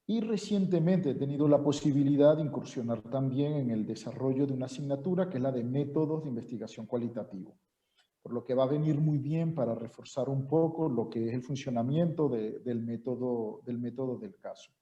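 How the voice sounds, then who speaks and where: A middle-aged man from Venezuela with a Venezuelan accent, giving a formal seminar talk over a video call, measured and full of "eh" fillers. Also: medium pitch, mellow. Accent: Venezuelan Spanish. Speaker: university lecturer. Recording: dull echoey video-call audio.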